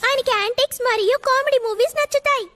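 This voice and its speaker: A woman's voice: very high-pitched, quick pace, background noise. A woman voicing a confident, teasing young girl in a dubbed cartoon, with a breezy, friendly manner.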